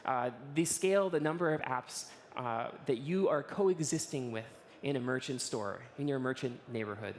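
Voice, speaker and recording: A hoarse woman in her thirties, giving an informal conference talk on a large stage with many filler words and restarts. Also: a bass voice, moderate pace, few pauses, a little mellow, a little hiss or hum. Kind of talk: conference presentation. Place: stage of a large conference hall in front of an audience.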